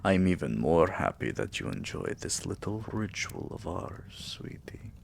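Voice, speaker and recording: A man's voice: low-pitched, big pitch swings, a little slow, vocal fry, slight background noise, heavily acted. A man voicing a tender, possessive vampire king in an intimate, soothing ASMR roleplay, murmuring and often slipping into whispers. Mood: intimate, whispering.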